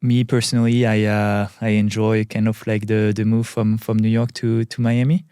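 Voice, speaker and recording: A man's voice: lowish voice, flat delivery, moderate pace, mellow, smooth, no echo, clean studio sound. A middle-aged man from France with a French accent, answering interview questions thoughtfully, with many fillers and restarts. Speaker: tech CEO guest. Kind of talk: podcast interview.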